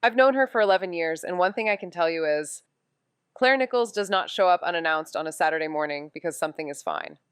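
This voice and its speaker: A woman's voice: on the low side, quick pace, clear, resonant tone, no echo, clean studio sound, close to an everyday voice. A woman acting a wry, profane young amateur detective in a noir audio drama, moving between casual banter and narration. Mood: wry, hushed narration.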